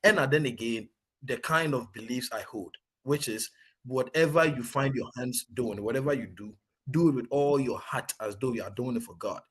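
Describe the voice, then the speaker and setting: A young Ghanaian soldier with a noticeably husky voice and a Ghanaian accent. He talks in an earnest, animated way, restarting his sentences often. His pitch sits in an ordinary middle range for a man. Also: normal speed. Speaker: serving soldier. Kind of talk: conversational interview, mixing Twi and English.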